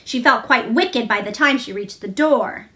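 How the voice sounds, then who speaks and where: A woman narrates a children's story aloud. Her voice is high and bright. She reads scripted prose expressively, as a storybook reader. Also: moderate pace.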